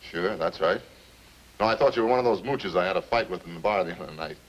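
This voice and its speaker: An actor with an American accent playing a defensive, slangy small-time murder suspect in a 1950s radio drama, colloquial and grumbling. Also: male voice, low-pitched, quick pace, dull audio. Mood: defensive, excusing. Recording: old radio recording, faint noise.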